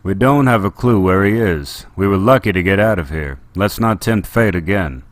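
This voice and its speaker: A man voicing a weary, remorseful male city administrator who speaks quietly and earnestly. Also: low voice, moderate pace, no echo, close to an everyday voice.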